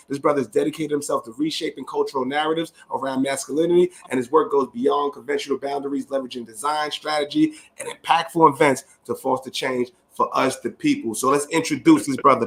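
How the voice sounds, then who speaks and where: A man from the Bronx, co-hosting a podcast in energetic, slangy talk and reading a guest introduction. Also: medium pitch. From the Bronx, New York. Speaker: podcast co-host. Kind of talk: hype podcast chat with read intro.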